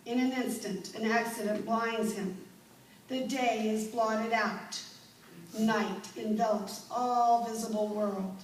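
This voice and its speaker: A woman playing an impassioned advocate for the blind, reciting a formal, measured speech in a declamatory dramatic style. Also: a little high, steady tone. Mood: solemn, grave. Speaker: performer in a dramatization. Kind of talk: dramatic recited oration before an audience. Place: indoor room, recorded from a distance. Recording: roomy, distant mic, background noise.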